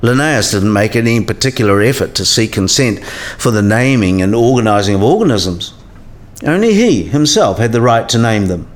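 A man's voice: lowish voice, expressive, normal speed, lightly acted. A man playing a learned professor in a radio play, explaining science history in a precise, didactic way. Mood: measured, instructive.

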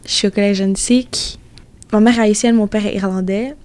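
A woman's voice: mid-range voice, fairly even intonation, brisk, darkish tone. A seventeen-year-old girl giving a brief, plain self-introduction as a student guest. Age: teenager, 17.

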